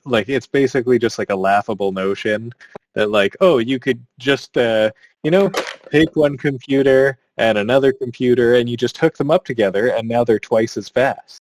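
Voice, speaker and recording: A man's voice: medium pitch, normal speed, slight background noise, poor audio. A man co-hosting a podcast in casual chat, rambling and leaning on "like". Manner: rambling, heavy use of "like". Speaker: podcast co-host.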